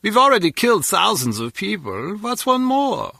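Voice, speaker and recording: A man's voice: high voice, very animated, moderate pace, vocal fry, pushed and bright, no room sound, studio quality, exaggerated voice. An actor playing a nervous, prim and polite criminal henchman who speaks formally in a video game. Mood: cool arguing. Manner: formal, precise wording.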